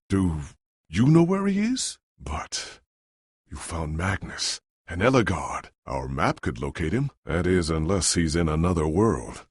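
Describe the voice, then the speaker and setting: Deep, gravelly noble game hero. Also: male voice, a bit bright, no echo, heavily acted. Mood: worried. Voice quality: gravelly, husky. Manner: grave, formal, dramatic delivery. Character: noble ageing adventurer hero, solemn and earnest. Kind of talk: video game voice acting.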